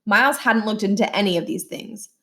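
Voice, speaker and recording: A woman's voice: medium pitch, a little fast, a bit bright. Woman reading a children's novel aloud. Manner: acts out the dialogue of the characters. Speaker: reader of a children's book. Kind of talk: read-aloud storytelling with brief explanatory asides.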